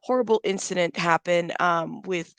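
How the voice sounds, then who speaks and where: A woman hosting a casual livestream, chatting with viewers and giving friendly shout-outs while still congested. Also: lowish voice, normal speed, creaky, clear, resonant tone, no room sound. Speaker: livestream host.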